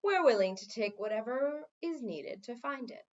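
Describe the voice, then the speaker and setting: Woman playing a lecturing, smooth male head wizard. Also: a little high, expressive, moderate pace, lo-fi sound, lightly acted. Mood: confident. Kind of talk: children's fantasy audiobook reading.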